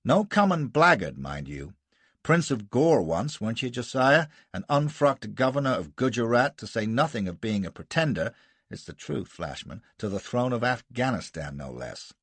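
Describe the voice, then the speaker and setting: A man reading aloud and voicing a gruff, blustering American colonel with a barking, bullying manner. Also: mid-range voice, very animated, normal speed, fairly bright tone, no room sound, exaggerated voice. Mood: scornful.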